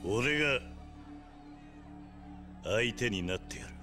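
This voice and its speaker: An actor playing a stoic veteran gangster in a game, blunt, grave and commanding. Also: male voice, medium pitch, lively intonation, slow, over music. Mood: grave, defiant. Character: stern, stoic veteran yakuza tough guy. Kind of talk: video game cutscene acting.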